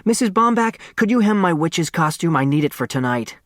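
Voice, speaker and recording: A man's voice: on the high side, animated, brisk, fairly bright tone, no echo, clean studio sound, voice pushed up. A man voicing a bratty, defiant young girl in an audiobook, snappy and blurting her words. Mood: demanding.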